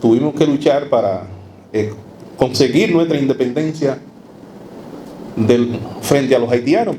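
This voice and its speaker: A man with a Dominican accent giving an academic lecture to a live audience, emphatic and halting, repeating phrases. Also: mid-range voice, warm tone. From Dominican Republic. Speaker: academic speaker. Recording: live talk with noticeable background noise.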